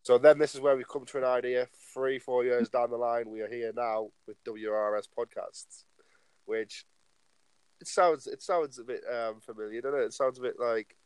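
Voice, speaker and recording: A man's voice: mid-range voice, a little mellow, smooth, no echo, poor audio. Rambling nineteen-year-old guy. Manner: rambling, leans on "like" and "obviously". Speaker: podcast co-host. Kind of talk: casual podcast chat.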